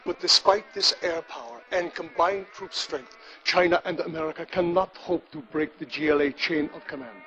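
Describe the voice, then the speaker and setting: A man's voice: fairly high pitch, normal speed, fairly bright tone, muffled recording, close to an everyday voice. An actor playing a solemn, partisan male war correspondent delivering a scripted news report in a video game. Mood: solemn, defiant.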